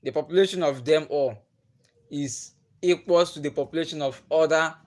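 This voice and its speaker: Young Nigerian man, Igbo native, fairly high voice, casual livestream chat, asks viewers questions. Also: moderate pace, a little mellow. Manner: chatty, laughs often, asks viewers questions. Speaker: livestream host. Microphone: phone or webcam on a livestream.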